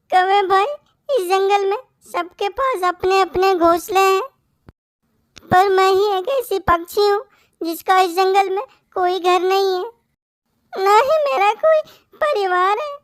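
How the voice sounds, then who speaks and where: A woman's voice: very high-pitched, slow, long pauses, no echo, clean studio sound. A woman voicing a sad, timid little orphan bird in a cartoon, with a plaintive, tearful way of talking. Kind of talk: Hindi moral-story cartoon acting.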